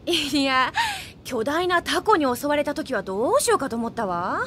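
A woman playing a breezy, excitable, carefree young female character in an anime audio drama. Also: sits high, normal speed, slight background noise.

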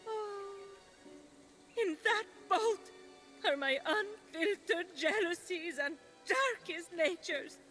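An actress playing a vain, guilt-ridden goddess of love in a video game, dramatic and self-pitying. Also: female voice, very high voice, slow, bright, forceful tone, faint music behind, full character voice. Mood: anguished. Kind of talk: video game cutscene acting.

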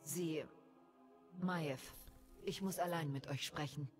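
A woman's voice: low-pitched, slow pace, a little mellow, soft background music. A woman voicing a fierce, regal elf priestess and war leader in a video game, solemn and declamatory. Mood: solemn, resolute.

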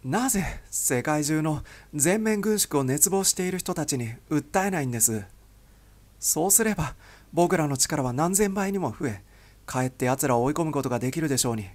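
A man's voice: on the high side, lively intonation, normal speed, voice pushed up, close to an everyday voice. A man voicing an impassioned young secret agent who protests urgently, anguished and defiant. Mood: indignant, pleading.

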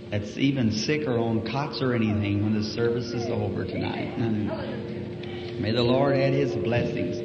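Folksy preacher in an old echoey hall. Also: male voice, mid-range voice. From United States. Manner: humble, folksy, soft-spoken with small laughs. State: slightly hoarse voice. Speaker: evangelist preacher. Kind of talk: sermon. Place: church auditorium. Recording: old echoey hall recording, dull and hissy.

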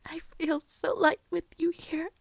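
A woman playing a fragile, devoted young maid in an intimate audio roleplay, speaking in a breathy, hesitant, tender whisper. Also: extremely high pitch, measured pace, no room sound, heavily acted. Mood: tender relief.

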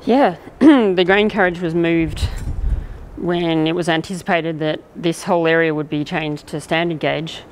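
Low-voiced woman rambling on location. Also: unhurried, slight background noise, low-quality recording. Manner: rambling, thinking aloud with fillers. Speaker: railway video maker. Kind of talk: casual on-location commentary. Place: outdoors at a rural railway station.

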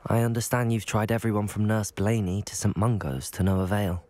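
A young man with an English accent playing an earnest, polite teenage wizard student in a video game, explaining things in a measured way. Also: fairly low pitch, fairly even intonation, a little mellow, natural voice. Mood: polite, insistent. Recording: background music under dialogue.

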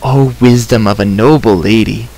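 A man voicing a small shaggy creature from a storybook, whimpering, grovelling and self-pitying in drawn-out wails. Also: on the low side, darkish tone, poor audio. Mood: wailing, fawning. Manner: whimpering, wailing, drawn-out rhyming third-person speech. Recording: clear background hiss.

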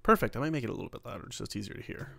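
Guy doing a laid-back how-to voice-over, mid pitch, really dry close mic. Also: expressive, rapid-fire, gravelly, bright, forceful tone, dry recording. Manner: informal, talks through steps as he works. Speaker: tutorial host. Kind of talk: casual screen-recorded software tutorial.

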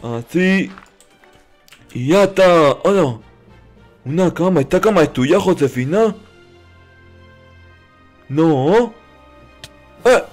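A man's voice: on the high side, expressive, slow pace, pauses often, a little mellow, faint music behind. A man voicing a naive, dim-witted childlike noob in improvised comedic video game roleplay, with simple, repetitive phrasing. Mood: naive, cheerful.

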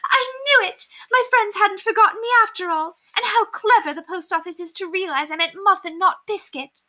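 Woman doing a shrill, vain aristocratic lady. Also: expressive, a little fast, pushed and bright, full character voice. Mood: delighted. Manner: high, gushing and exclamatory. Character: haughty, boastful aristocratic lady, gushing and vain.

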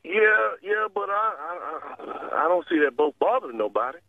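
A man's voice: high voice, very animated, moderate pace, no echo. A stubborn, combative man who repeats himself while arguing over a telephone line. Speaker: prank call target. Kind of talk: argumentative phone conversation. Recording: dull lo-fi phone line.

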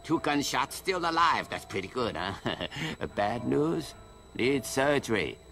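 A jolly older back-alley doctor in a game cutscene. His clipped broken English swoops up and down dramatically, slow and gravelly. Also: mid-range voice, very animated, fairly bright tone, soft background music, heavily acted. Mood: wry, cheerful. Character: jolly older male underground surgeon, eccentric.

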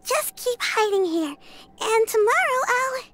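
Tiny innocent girl, game character, squeaky high, earnest, childlike, noisy backdrop. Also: sing-song, a little slow, heavily acted. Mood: friendly, conspiratorial. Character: sweet, innocent little girl befriending a dragon. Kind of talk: video game voice acting.

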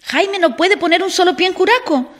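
A woman's voice: very high-pitched, fairly quick, a bit bright. An actress playing a supportive, assured woman who leads a women's house in a radio drama. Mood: reassuring.

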